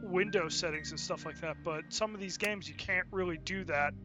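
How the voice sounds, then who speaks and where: A man's voice: sits high, normal speed, music in the background. A man giving casual, unscripted game commentary as a streamer, rambling and thinking aloud as he goes.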